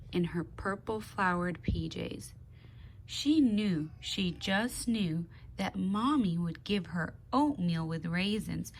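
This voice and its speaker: Very slow-paced woman reading to kids. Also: on the low side, creaky, slight background noise, rough recording. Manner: expressive storytelling with questions to young listeners; slow, deliberate, with pauses. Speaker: speech therapist. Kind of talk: children's picture book read-aloud.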